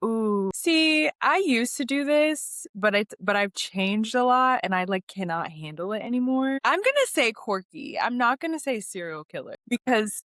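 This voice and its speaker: A woman's voice: fairly high pitch, big pitch swings, normal speed, few pauses, fairly bright tone, no room sound, studio quality. A young American woman from New York chatting casually as a podcast guest, informal and conversational with lots of "like". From New York, United States. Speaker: interview guest, actress.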